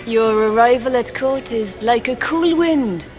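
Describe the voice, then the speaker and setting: An actress playing a poised, regal empress with a formal, stately manner in a fantasy video game. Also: female voice, fairly high pitch, measured pace, darkish tone, soft background music, lightly acted. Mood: gracious, cool.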